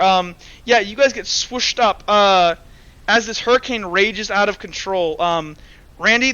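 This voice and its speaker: A man with a high, forceful voice runs a tabletop roleplaying game. He explains and narrates informally, hesitating as he goes. The sound is slightly dull and muffled. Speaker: game master.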